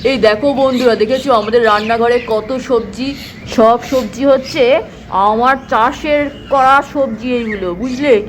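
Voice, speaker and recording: Woman doing bossy village husband in a doll cartoon, really high voice, keeps urging, bit of background noise. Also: steady tone, normal speed, natural voice. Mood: chatty, contented. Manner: instructing, chatty, repetitive urging. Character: village farmer husband, bossy, fitness-proud, fond of exercise. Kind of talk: acted children's doll-play cartoon dialogue.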